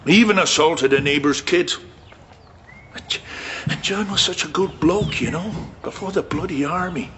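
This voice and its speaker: A man's voice: on the high side, moderate pace, close to an everyday voice. An actor with a Scottish accent playing a bitter, wary man in a naturalistic radio drama. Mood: troubled. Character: bitter, wary man discussing his violent war-damaged cousin. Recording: muffled, with clear background noise.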